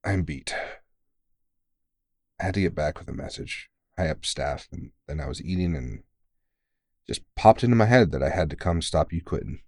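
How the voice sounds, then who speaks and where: A man's voice: low voice, lively intonation, moderate pace, pauses often, a little mellow, no room sound, studio quality. A man playing a tired, kindly veteran vampire hunter who mentors a rookie in an informal, teasing, reassuring way, in an ASMR comfort roleplay. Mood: weary. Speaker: voice actor in audio roleplay.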